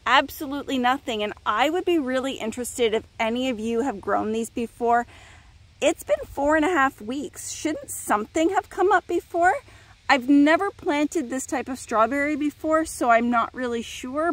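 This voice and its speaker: A woman's voice: fairly high pitch, a bit bright, no echo. A middle-aged woman chatting casually as she walks a phone camera through her outdoor garden beds, thinking aloud and sounding puzzled at times. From Canada. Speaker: garden vlogger. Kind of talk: casual walkthrough narration. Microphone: phone camera held while walking.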